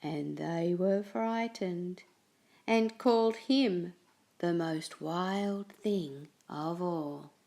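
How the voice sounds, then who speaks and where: A woman slowly reading a children's picture book aloud with an expressive, storytelling delivery. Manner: slow, expressive reading with lively pitch.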